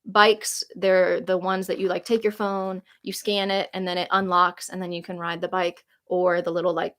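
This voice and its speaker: A woman's voice: lowish voice, flat delivery, normal speed, fairly bright tone. A woman in her late twenties chats through viewer questions on a live stream. She rambles in a loose, friendly way, full of "like" and self-corrections, and laughs easily as she goes. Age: 20s. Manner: chatty, rambling, lots of "like" fillers and restarts; laughs easily while reading comments aloud. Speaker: YouTuber answering viewer questions. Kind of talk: casual live-stream Q&A chat.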